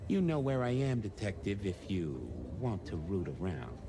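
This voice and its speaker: Swooping, theatrical, eccentric game archivist. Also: male voice, on the low side, very animated, normal speed, very noisy. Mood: suggestive. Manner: theatrical, suggestive, playful. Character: eccentric, eager male archivist informant who revels in records. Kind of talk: video game voice acting.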